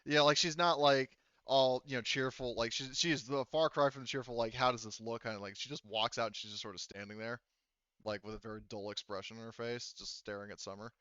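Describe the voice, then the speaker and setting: A man with a bright, clean voice narrates a tabletop game as game master. He feels his way through descriptions in a conversational, halting manner. The sound is dry and slightly dull, like lo-fi voice chat. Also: mid-range voice, fairly even intonation, clean tone, no echo.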